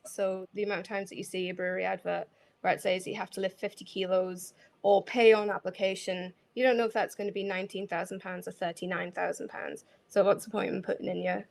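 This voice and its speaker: A woman's voice: medium pitch, vocal fry. A woman speaking frankly on an online panel, sounding exasperated.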